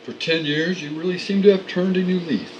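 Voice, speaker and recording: A man's voice: sits high, steady tone, a little mellow, highs cut off, a little hiss or hum, lightly acted. A man playing a dry, stern judge. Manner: dry, measured, formal. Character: measured, stern male 1950s judge. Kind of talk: radio play acting.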